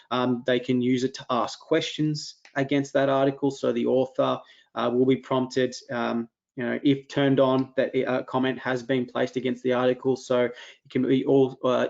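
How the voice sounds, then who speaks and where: A man walking through a software demo in a webinar, talking loosely with many ums and restarts. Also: medium pitch, gravelly, muffled recording. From Australia. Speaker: product presenter.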